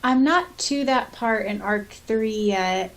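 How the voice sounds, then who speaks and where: A woman's voice: a little high, slow pace. A woman co-hosting a casual fan podcast, chatting and reading listener comments aloud. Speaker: podcast co-host.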